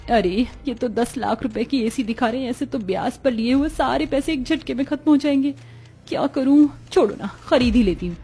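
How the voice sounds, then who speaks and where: महिला की आवाज़ में ज़िद्दी, घमंडी देवरानी, मद्धम सुर. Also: female voice, a little high, moderate pace, dark tone, pitched above the performer's own voice, close to an everyday voice. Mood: insistent. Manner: insistent, haughty. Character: demanding, boastful, spendthrift younger sister-in-law. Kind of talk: animated Hindi family story acting.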